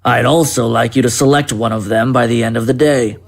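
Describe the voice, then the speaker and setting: An actor playing a menacing military captain, clipped and commanding, with cold cruel amusement, in an audio drama. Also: male voice, mid-range voice, fairly even intonation, brisk, vocal fry.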